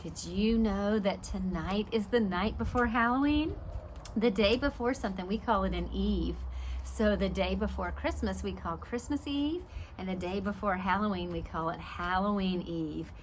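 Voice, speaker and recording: A woman's voice: mid-range voice, normal speed, slight background noise. A woman preschool teacher reading a storybook aloud and adding simple explanations and questions for young children.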